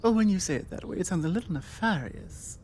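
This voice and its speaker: A woman's voice: fairly low pitch, a little hiss or hum, close to an everyday voice. Woman playing a flirty British vampire, birdsong behind. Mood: flirty, musing. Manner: teasing, coaxing, with deliberate pauses. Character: forward, flirtatious female vampire, confident and playfully bossy. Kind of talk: ASMR roleplay, intimate one-sided conversation. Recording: steady outdoor ambience with birdsong. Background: birdsong and outdoor ambience.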